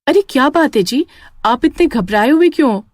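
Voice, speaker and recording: A woman voicing a concerned village wife and mother, earnest and questioning, in an animated story. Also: sits high, normal speed, dry recording, pitched above the performer's own voice. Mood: worried.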